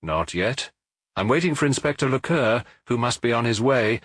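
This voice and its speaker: Man as earnest young policeman, lively intonation. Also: medium pitch, normal speed, no echo, studio quality. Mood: earnest, apologetic. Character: young male policeman, earnest, apologetic subordinate.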